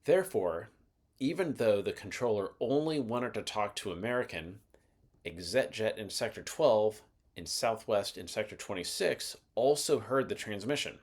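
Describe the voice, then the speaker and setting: A man's voice: fairly low pitch, animated, moderate pace. A man giving scripted instructional narration as the host of an explainer video, in a methodical, explanatory manner. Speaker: host of an aviation explainer channel.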